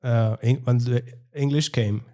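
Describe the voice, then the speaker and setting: A man hosting a podcast, asking questions in a halting way with restarts during a casual interview. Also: mid-range voice, steady tone, mellow. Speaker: podcast host.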